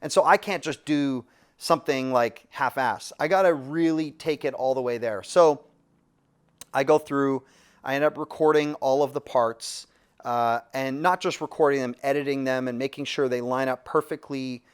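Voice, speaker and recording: A man, a music producer, casually telling a studio story with lots of fillers and restarts. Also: medium pitch. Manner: relaxed, rambling with many fillers and restarts.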